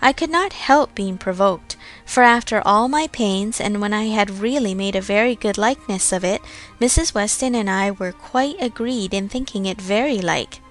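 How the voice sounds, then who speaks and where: A woman's voice: medium pitch, moderate pace, soft background music, lightly acted, rough recording. A woman voicing a genteel, chatty, self-satisfied young lady of Regency society in a storybook reading. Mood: proud, chatty.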